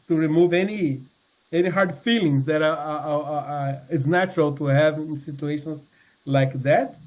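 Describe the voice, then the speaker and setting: Man, 60s, high voice, earnest testimony, halting, lots of fillers and restarts. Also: darkish tone. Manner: earnest, sincere. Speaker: member giving a testimony. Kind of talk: personal testimony talk with slides.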